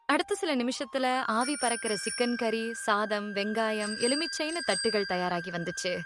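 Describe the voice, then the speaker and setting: A woman narrating a cartoon moral story in a clear, expressive way. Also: a little high, normal speed, noisy background. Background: music.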